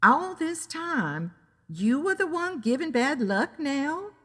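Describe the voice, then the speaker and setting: Woman acting exclamatory older homeowner, lilting pitch. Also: big pitch swings, slow pace, a bit bright, in a large hall, heavily acted. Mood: surprised, indignant. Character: astonished older woman homeowner, protective of her dog. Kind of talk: audio drama storytelling.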